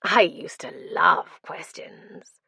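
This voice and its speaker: Audiobook: woman voicing a gleeful madman prisoner, high loud outbursts, then whispers. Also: huge pitch swings, takes it slowly, creaky, dry recording, clean studio sound, exaggerated voice. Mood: sly. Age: 40s. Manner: manic, gleeful, swings to whispers. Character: mad grinning male prisoner, scarred face, gleeful.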